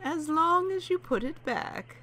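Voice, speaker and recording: A woman playing a polite, wary elderly widow in an audio drama, speaking slowly and carefully. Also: very high voice, animated, pushed and bright, slight background noise, full character voice. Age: older. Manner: polite, wary, slow and lilting. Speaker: homeowner.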